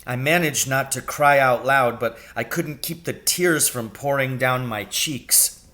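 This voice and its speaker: A man narrates a children's storybook. His voice sits at a medium pitch with a bright, forceful edge, and the delivery is expressive. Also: a little slow. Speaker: reader of a children's novel.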